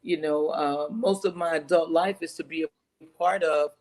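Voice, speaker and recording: A woman's voice: low voice, steady tone, moderate pace, darkish tone. A middle-aged woman from Texas, giving earnest, emphatic interview answers over a video call and often repeating phrases. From Texas, United States. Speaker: interview guest, city council candidate.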